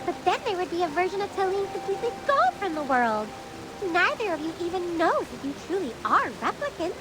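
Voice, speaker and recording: A woman voicing a small, spirited, childlike companion in a fantasy game, with an animated, forthright manner. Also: very high voice, fairly quick, background noise. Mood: questioning. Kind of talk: scripted fantasy game voice acting. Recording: music and rain behind the voice. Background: music, rain.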